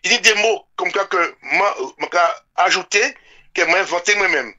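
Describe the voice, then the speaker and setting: A man's voice: high-pitched, moderate pace, sharp tone, muffled recording. A man with a French Caribbean accent in an impassioned, informal political discussion, repeating words emphatically. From French Antilles. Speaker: radio show speaker.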